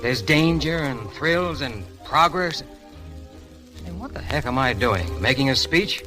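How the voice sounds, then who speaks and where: A man's voice: medium pitch, animated, measured pace, lightly acted. An American man playing a cocky, flirtatious veteran pilot with brash, slangy patter in a 1930s Hollywood film. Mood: breezy. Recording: vintage 1930s film soundtrack with music.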